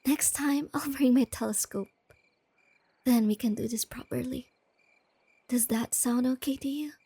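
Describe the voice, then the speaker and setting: A woman acts out a shy, bookish young girlfriend in an ASMR roleplay. She is soft-spoken and gentle, with a high, slightly creaky voice, and takes her time as she eagerly explains things. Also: fairly even intonation, measured pace, dry recording, heavily acted. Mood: affectionate, eager. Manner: gentle, intimate, enthusiastic about explaining facts.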